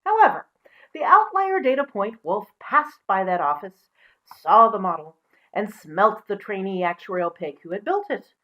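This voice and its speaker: A woman presenting as a storyteller, mixing expressive storybook reading with casual asides. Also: mid-range voice, normal speed, lo-fi sound.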